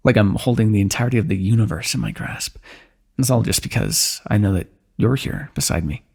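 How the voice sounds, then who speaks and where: A man performing an intimate fantasy roleplay for ASMR, speaking softly in a teasing, affectionate way. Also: on the low side, fairly quick, vocal fry, husky. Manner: soft, teasing and affectionate, with frequent light laughs.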